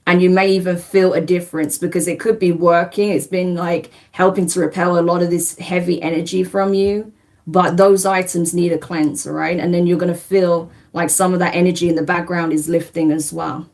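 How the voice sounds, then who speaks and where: A woman with a slightly low voice leads a live tarot reading on a webcam stream. She speaks in a calm, reassuring way and checks in often with her viewers. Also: normal speed. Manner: soothing, repeats "right?" and "okay?". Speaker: tarot reader. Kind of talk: livestream spiritual reading.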